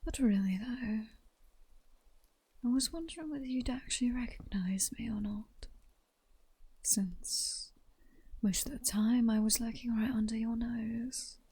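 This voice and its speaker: A woman with a British accent playing a seductive, mocking vampire queen in a slow, breathy, teasing whisper for an ASMR roleplay. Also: a little high, warm tone. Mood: mocking, menacing. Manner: slow, teasing, sultry, condescending. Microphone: close-up ASMR microphone.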